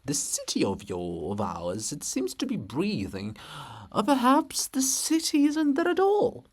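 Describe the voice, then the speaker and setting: A man's voice: high voice, wildly swooping pitch, unhurried, voice pushed up, exaggerated voice. A man voicing a theatrical chorus in a dramatic reading, pleading and questioning insistently. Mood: feverish, insistent. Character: hissing theatrical chorus, feverishly pleading and riddling.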